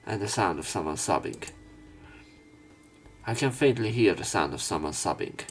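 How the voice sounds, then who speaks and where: A man with a low voice narrates a visual novel for a let's play. He reads the script aloud with some drama, over background music. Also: lively intonation, moderate pace.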